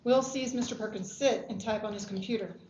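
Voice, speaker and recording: A woman's voice: medium pitch, a little fast. A woman reading out stage directions as scripted narration at a screenplay table read. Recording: echoey, dull, faintly noisy room recording.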